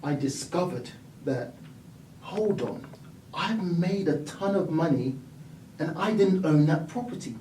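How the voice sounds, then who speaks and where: A man from the United Kingdom tells his story with energy, slipping in and out of voices as he quotes people. His voice sits on the higher side. The room is echoey and he sounds a little far from the mic. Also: animated, unhurried, dark tone, a little hiss or hum. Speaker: property investor and mentor. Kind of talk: storytelling interview guest.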